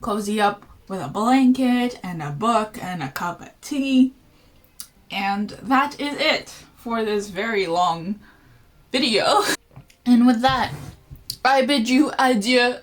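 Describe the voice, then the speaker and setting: A woman's voice: on the high side, lively intonation, takes it slowly. A woman book vlogger chatting casually to camera at home, playful, with lots of fillers and jokey asides. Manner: chatty and playful, many "like" and "you know" fillers.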